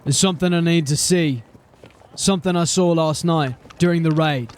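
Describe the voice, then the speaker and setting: Male actor as a blunt, jaded city-watch sergeant, plainspoken, slightly high voice, audio drama. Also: normal speed, natural voice. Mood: steady, grim. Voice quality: plain-spoken, grim and steady.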